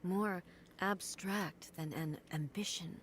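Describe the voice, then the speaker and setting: An actress playing a regal female deity and ruler in a video game, speaking in a measured, formal, contemplative way. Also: on the low side, a little hiss or hum. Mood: reflective, solemn. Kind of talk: video game character acting.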